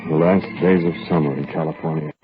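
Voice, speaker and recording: An actor playing a grim man on the run with stolen money, narrating in a low, measured way in a 1940s radio drama. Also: fairly even intonation. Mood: brooding. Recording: tinny old radio broadcast with faint music.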